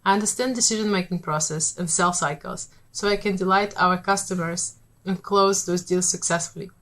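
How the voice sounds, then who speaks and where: A woman with a Polish accent, a startup founder giving a rehearsed pitch in a measured, steady way.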